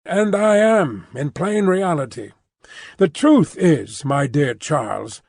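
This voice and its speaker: An elderly, loyal banker from a classic English novel, acted out by a male audiobook reader. He speaks in a raised male register with brisk, emphatic, old-fashioned phrasing and stubborn good cheer. The sound is very dry. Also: a little high, expressive, gravelly, a little mellow, dry recording, heavily acted. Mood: earnest. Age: late seventies. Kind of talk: audiobook character dialogue.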